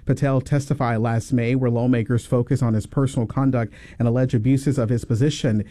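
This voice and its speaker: Measured male news anchor, mellow tone. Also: mid-range voice. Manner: even, measured news reading. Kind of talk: scripted newscast.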